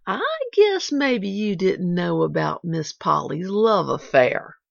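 A woman reading the part of a gentle, sentimental old male gardener with a rustic country dialect, on a dull lo-fi recording. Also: mid-range voice, big pitch swings, gravelly, husky, no echo, voice pushed up, full character voice. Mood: sly. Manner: rustic dialect, slow and wondering.

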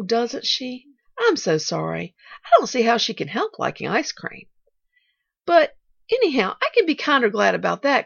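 A woman plays an eager little orphan girl from a storybook, high-pitched and very animated. She rambles breathlessly, trips over her own words and keeps bravely glad. The recording is dull and lo-fi. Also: creaky, breathy edge, no room sound, exaggerated voice. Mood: chatty, eager. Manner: breathless, rambling, self-correcting. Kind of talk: audiobook character voice.

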